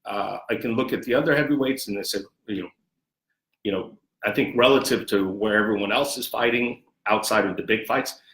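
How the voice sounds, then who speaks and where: In a roomy, echoing recording, a man with a lowish voice gives interview answers. He speaks as a sports executive, measured and conversational, often circling back over his phrases. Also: a bit bright. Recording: echoey, roomy sound.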